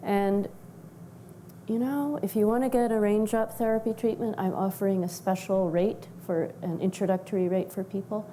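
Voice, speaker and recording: A woman speaking at a middle pitch as a studio guest. She explains her health work steadily and informatively. A faint hum sits behind her voice. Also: fairly even intonation, normal speed, dark tone. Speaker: wellness practitioner and guest. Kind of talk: studio interview.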